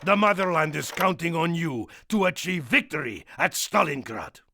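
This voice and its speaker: A man's voice: on the high side, lively intonation, normal speed, background noise. A man voicing a stern, declamatory Soviet officer with a Russian accent, rallying troops in a war game. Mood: rousing, threatening. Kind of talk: wartime propaganda speech.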